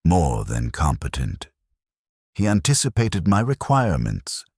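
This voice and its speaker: A man with an extremely deep, husky voice narrates a military story in the first person. His delivery is grave, measured and clipped, yet the pitch moves in a lively way from phrase to phrase. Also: dry recording, studio quality.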